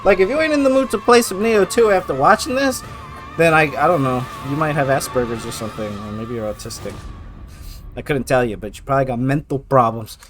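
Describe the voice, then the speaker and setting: A man giving casual, slangy, profanity-laced video game commentary in an excitable, hyped manner. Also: mid-range voice, brisk. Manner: excitable, hyped, punctuating talk with exclamations. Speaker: video host reacting to favorite game intros. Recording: music playing behind the voice.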